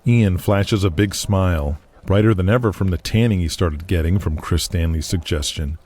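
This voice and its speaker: A man's voice: low voice, moderate pace, darkish tone. A man narrating an audio drama in a scripted, dramatic storytelling style.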